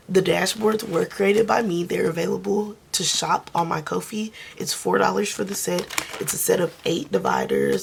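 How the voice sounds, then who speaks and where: Hoarse, gravelly-voiced woman counting cash. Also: noisy background. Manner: soft, low-key, counting under her breath. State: losing her voice, hoarse. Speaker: budgeting vlogger. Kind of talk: casual cash-stuffing vlog, counting money aloud.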